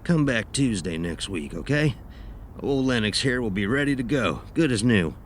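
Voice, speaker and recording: An actor playing a gruff, blunt, grieving taxidermist in an audio horror drama, talking in a curt, folksy way. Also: male voice, mid-range voice, lively intonation, normal speed, a little hiss or hum, natural voice. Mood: curt, businesslike.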